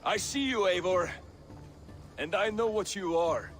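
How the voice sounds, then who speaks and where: An actor playing a hot-headed, boastful warrior who barks harsh, scornful lines. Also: male voice, sits high, unhurried, a bit bright. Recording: game cutscene over music.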